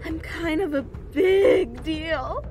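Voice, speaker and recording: A woman's voice: very high voice, drawn-out words, a little mellow, full character voice. A woman voicing a feral female Fae executioner hound in a fantasy audio drama, mocking, theatrical and menacing with growled threats. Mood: mock hurt, boastful.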